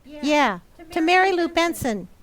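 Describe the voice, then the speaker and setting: Woman playing a high-pitched cheeky little boy. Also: lively intonation, a little slow. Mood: innocent, cheeky. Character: cheeky young boy, the Nelsons' little son. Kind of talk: old-time radio comedy acting.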